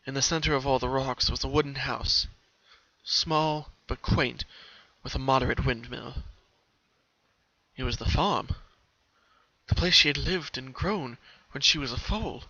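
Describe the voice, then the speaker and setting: A man's voice: mid-range voice, measured pace, sharp tone, no room sound. A man narrating a story as a dramatic reading, on a muffled lo-fi home recording.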